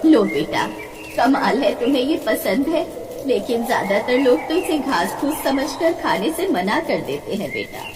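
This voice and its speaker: A woman's voice: on the high side, very animated, unhurried, recorded from a distance. A woman voicing a poor, humble old village woman who speaks plainly and affectionately in a cartoon tale. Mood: humble, kindly. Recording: echoey reverberant voice, faint noise.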